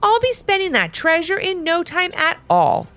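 Woman voicing an excited, high-pitched little boy. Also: moderate pace, heavily acted. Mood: smug. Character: excited young boy, eager and boastful.

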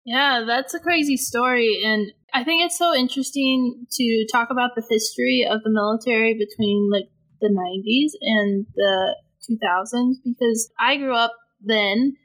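A young woman hosts an interview in a slightly high voice. She reacts to her guest in a relaxed, casual way, with plenty of filler words. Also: moderate pace, poor audio. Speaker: interviewer, podcast host.

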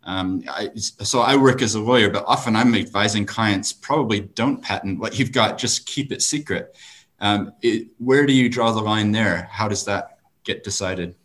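A man's voice: lowish voice, moderate pace, a bit bright. A man from New Zealand who works as a lawyer, hosting an online panel and asking questions in a friendly way with fillers. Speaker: host and lawyer.

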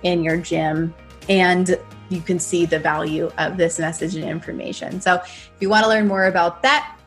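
Woman, podcast host, lowish voice, encouraging and conversational, faint music behind. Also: steady tone, moderate pace. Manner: warm, encouraging, uses "like" a lot. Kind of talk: casual conversation and scripted outro.

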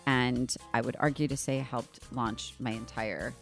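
A woman hosting an interview podcast, effusive and eager, quick to react and chat along. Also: rumbling low, steady tone, soft background music. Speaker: podcast host.

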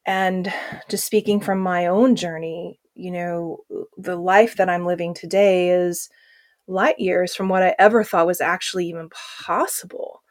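A woman's voice: fairly low pitch, fairly even intonation, unhurried, no echo, clean studio sound. A woman who works as a trauma healing coach, answering interview questions in an earnest, reflective way with frequent fillers.

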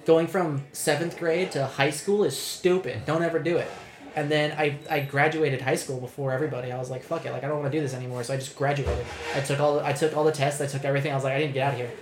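A man's voice: a little high, steady tone, fast, reverberant. A young man, talking candidly and rambling as a podcast host, with lots of restarts and swearing. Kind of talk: casual podcast chat.

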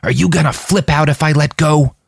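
A man's voice: on the high side, a little fast, gravelly, slightly rough, no room sound, pitched above the performer's own voice. Man voicing a blunt, tough young woman soldier. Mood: exasperated. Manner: blunt, exasperated.

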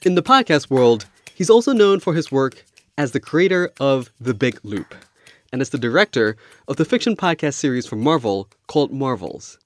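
A man's voice: mid-range voice, very animated, normal speed, no echo. A man hosting a podcast, reading scripted narration. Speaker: podcast host and interviewer. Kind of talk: scripted narration with interview chat.

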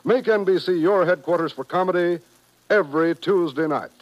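A man's voice: high voice, normal speed, dull audio. An American man announcing in formal, polished 1950s network radio style, reading scripted promotions.

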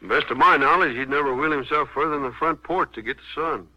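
An actor playing a folksy, plain-spoken county sheriff in a 1950s radio western, with drawn-out country phrasing. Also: male voice, on the high side, sharp tone, no room sound. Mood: puzzled, businesslike. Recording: dull vintage 1950s radio recording.

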